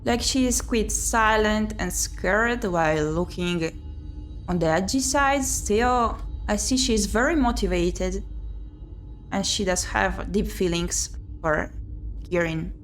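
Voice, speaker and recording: A woman's voice: medium pitch, slow pace, faint music behind. A woman streamer reading a visual novel aloud and chatting casually about it. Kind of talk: casual playthrough commentary and narration. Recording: clear background noise.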